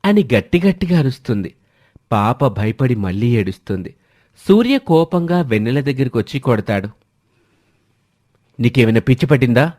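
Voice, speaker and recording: A man's voice: medium pitch, very animated, normal speed, a little mellow. A man reading a scripted family drama story as the storyteller, in a dramatic narrating style.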